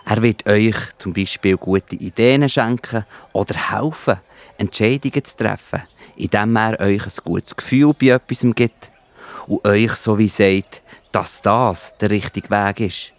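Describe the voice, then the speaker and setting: A man with a Swiss accent playing a gentle, reassuring Jesus who explains things patiently in a children's Bible audio play. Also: fairly low pitch, unhurried. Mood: calm, patient. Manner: explains patiently in simple words.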